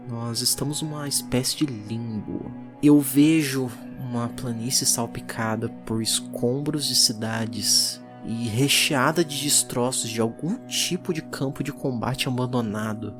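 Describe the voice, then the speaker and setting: A performer playing a curious, uneasy 19th-century occult explorer who narrates a journal in a reflective, theatrical way, with deliberate pauses, for an audio drama. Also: mid-range voice, natural voice. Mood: wondering, uneasy.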